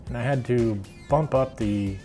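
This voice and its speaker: A man's voice: mid-range voice, measured pace, mellow, faint music behind. A middle-aged man with an American accent, casually explaining a do-it-yourself project in a plainspoken, unscripted way. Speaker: woodworking video host.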